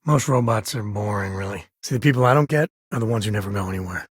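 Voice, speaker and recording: Husky-voiced American space cowboy. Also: male voice, lowish voice, fast talker, no room sound, clean studio sound. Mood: wry, easygoing. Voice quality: husky, rasping. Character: rugged, folksy spacefaring cowboy, protective and wry father. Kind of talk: video game character dialogue.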